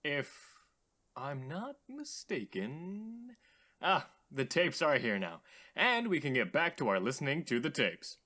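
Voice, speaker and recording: An actor playing an earnest, philosophical radio host in an audio drama, formal and slightly theatrical. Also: male voice, a little high, sing-song, takes it slowly, bright, forceful tone, exaggerated voice. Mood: intrigued.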